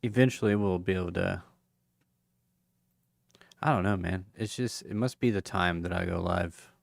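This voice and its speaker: Sleepy guy with a lowish gravelly voice, rambling sign-off, kinda hoarse, dry close mic. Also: no room sound. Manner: rambling, halting, many fillers. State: tired, yawning; voice going hoarse. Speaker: crypto livestream host. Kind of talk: casual livestream sign-off chat.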